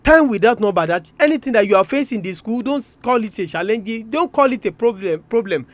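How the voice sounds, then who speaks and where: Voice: mid-range voice, expressive, brisk, no room sound. A radio presenter with a Nigerian Yoruba accent gives an educational talk in a didactic manner, repeating and restating points for emphasis. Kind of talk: educational radio talk, switching between languages.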